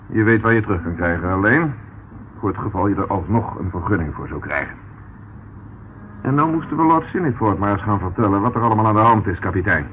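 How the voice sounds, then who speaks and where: An actor playing a sardonic, dogged police inspector in a radio drama, mocking and needling with mock politeness. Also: male voice, on the low side, lightly acted. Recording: tinny, noisy old radio play recording.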